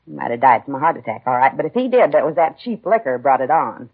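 A querulous old American woman in a vintage radio play. Her voice is rough and husky and pitched low for a woman. She complains bluntly and stubbornly, through a tinny old broadcast recording. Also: very animated, quick pace, heavily acted. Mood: bitter. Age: older. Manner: blunt, querulous, folksy grammar. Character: bitter, stubborn, complaining old mother-in-law. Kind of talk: 1950s radio drama acting. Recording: tinny, narrow-band 1950s radio recording.

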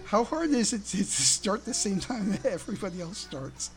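A woman's voice: mid-range voice, very animated, normal speed, faint music behind. A woman co-hosting a casual fan podcast in a wry, teasing manner. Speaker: podcast co-host.

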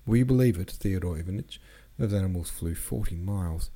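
A man's voice: sits low, brisk, mellow, husky. A man voicing a cheeky, deferential peasant coach driver in his twenties from a novel, boastful in his storytelling. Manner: respectful address, boastful storytelling.

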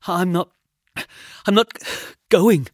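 Casting: male reader as timid boy, stammering, pitched up high, fearful storybook character. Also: slow, dry recording, studio quality. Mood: terrified. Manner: stammering, squeaky, panicked. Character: frightened, stammering soft teenage boy, the narrator.

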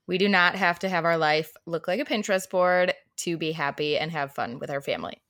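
A woman in her thirties co-hosting a podcast in casual chat, a little hoarse, laughing often as she talks. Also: fairly low pitch, fairly quick, no room sound, studio quality. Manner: laughs often, animated and chatty. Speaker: podcast co-host.

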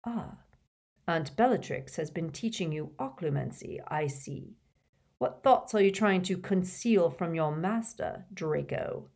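A cold, menacing male schoolmaster from a storybook, put on by a woman reader. He speaks low and quietly, slow and clipped, every word controlled. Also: slightly rough, exaggerated voice.

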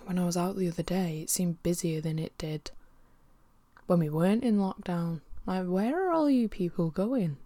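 Sniffly woman, low dry voice. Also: dry recording. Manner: candid, rambling, frequent swearing, drifts into soft whispery asides. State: sniffly with a cold. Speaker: vlogger. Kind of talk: casual personal monologue. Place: at home by her desk.

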